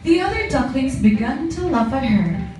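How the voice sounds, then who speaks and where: Echoey, lively woman storyteller. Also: high-pitched, moderate pace. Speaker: narrator. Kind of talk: children's storytelling, scripted narration. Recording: very echoey room, distant mic, music.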